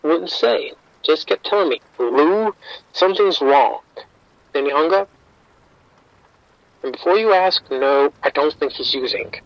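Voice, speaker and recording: A man's voice: fairly high pitch, lively intonation, a little slow, long pauses, no room sound, lo-fi sound. An actor playing a streetwise male bookie informant, wry and defensive, talking around the point in an audio drama.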